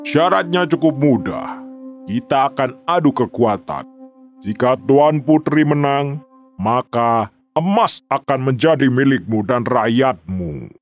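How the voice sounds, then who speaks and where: A man's voice: medium pitch, animated, slow pace. Guy doing a big sly storybook envoy, smug n formal, scheming, faint music under. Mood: confident. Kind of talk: children's storybook dramatization.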